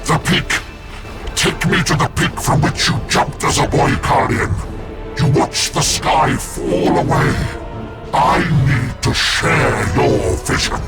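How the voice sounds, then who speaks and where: A tormented seer-soldier from a sci-fi war game, with music loud behind him. He speaks very slowly and breathily, halting between cryptic, portentous phrases. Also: fairly high pitch, creaky. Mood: urgent trance. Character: haunted, visionary psychic space marine, tormented and cryptic. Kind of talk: dramatic game voice acting.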